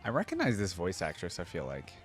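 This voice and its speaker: A man with a fairly low voice hosts a casual fan reaction. His pitch moves around in a lively way as he comments, over faint music. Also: quick pace. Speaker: reaction video host.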